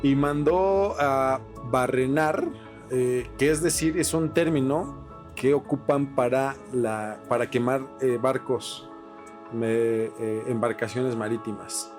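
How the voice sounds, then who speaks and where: A man's voice: medium pitch, takes it slowly, darkish tone. A Mexican man hosting a podcast, explaining things casually with slang and swearing. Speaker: podcast host. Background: music with guitar.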